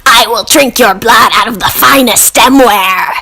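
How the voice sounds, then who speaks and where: A woman's voice: a little high, measured pace, bright, forceful tone, faint music behind, heavily acted. Woman voicing a vicious, snarling possessing spirit. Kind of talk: comic horror character acting.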